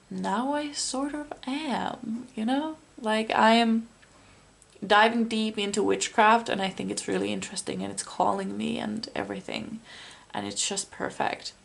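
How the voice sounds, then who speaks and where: A woman with a gravelly, creaky voice that is going hoarse as she speaks. She gives a casual tarot reading in a meandering, chatty way, talking straight to the viewer at a middling pitch. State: hoarse, losing her voice. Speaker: tarot reader.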